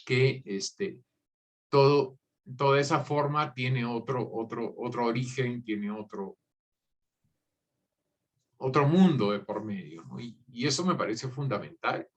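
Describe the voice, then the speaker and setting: A man's voice: medium pitch, slow, pauses often. A man with a mid-range voice hosts a conversation in a writing workshop. He talks with enthusiasm, stumbling over repeated words as he works toward his point. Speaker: workshop host. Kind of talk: conversational interview.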